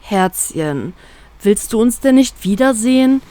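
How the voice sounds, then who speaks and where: A woman's voice: a little high, expressive, unhurried, warm tone, no room sound. A woman voicing a protective young pirate man. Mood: pleading. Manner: affectionate, upset, pleading. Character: young male fire-wielding pirate, warm and protective.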